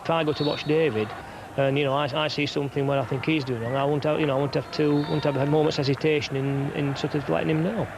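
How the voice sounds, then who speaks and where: Yorkshire referee, plain-spoken, restarts a lot, loud background noise. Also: male voice, a little high, steady tone, fast talker. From England. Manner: plainspoken, many fillers and restarts. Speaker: football referee. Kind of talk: documentary interview.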